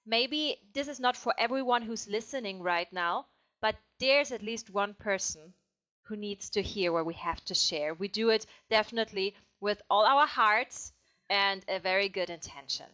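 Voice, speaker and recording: Woman, from Germany, German native speaker, podcast co-host, friendly, hesitant, lots of fillers, bright voice, slightly muffled lo-fi recording. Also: mid-range voice, normal speed. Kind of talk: casual conversational podcast. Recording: slightly dull, lo-fi sound.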